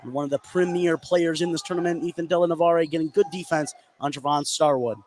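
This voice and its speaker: High-voiced man calling live basketball, noisy background. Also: quick pace. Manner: excitable, calling plays as they happen. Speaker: play-by-play sports announcer. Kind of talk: live basketball radio commentary. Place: indoor basketball game, live. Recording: noisy live gym broadcast.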